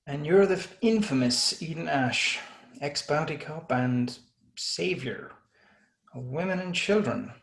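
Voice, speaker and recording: A man playing a wry, confident bounty cop with a gravelly, laconic, teasing delivery in a screenplay read. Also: a little high, expressive, unhurried. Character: wry, confident male bounty cop, smooth flirtatious swagger. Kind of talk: screenplay table read, dramatic dialogue.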